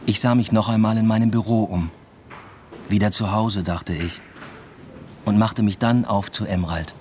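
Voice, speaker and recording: First-person radio drama narrator, played by a man: low-pitched, introspective intellectual, subdued literary delivery. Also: steady tone, normal speed, a little mellow, a little hiss or hum, lightly acted. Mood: measured, wry. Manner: subdued, reflective. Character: introspective male academic narrating his own love affair.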